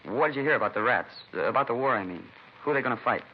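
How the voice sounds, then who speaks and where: A man's voice: medium pitch, animated, rapid-fire, close to an everyday voice. An actor playing a wary, streetwise young American man in a 1950s radio crime drama, firing slangy, pressing questions. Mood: wary, probing. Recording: muffled, faintly noisy old radio recording.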